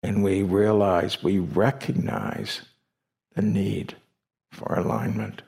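Very slow, gravelly man guiding meditation. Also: low-pitched, a little mellow. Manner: slow, deliberate, with long pauses between short phrases. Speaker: meditation teacher. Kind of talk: guided meditation. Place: meditation retreat hall.

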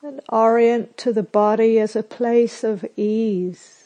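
Slow, mellow woman guiding meditation. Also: on the high side, steady tone. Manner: soothing, soft phrase endings, measured pauses. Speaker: meditation teacher. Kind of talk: guided meditation.

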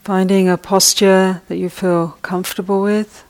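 A woman meditation teacher leading a guided meditation, speaking soft, gentle instructions with long pauses between phrases. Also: medium pitch, flat delivery.

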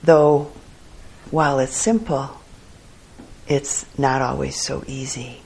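A woman meditation teacher leads a guided sit, speaking in gentle, unhurried phrases separated by long pauses. Her pace is very slow, and her voice has a gravelly, breathy husk. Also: very deep voice, fairly even intonation, low-quality recording. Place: meditation hall.

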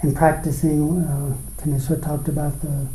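A man teaching guided meditation, speaking in short, unhurried phrases with pauses between them. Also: a little high, little pitch movement, warm tone. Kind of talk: guided meditation, dharma talk. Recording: roomy, echoing space.

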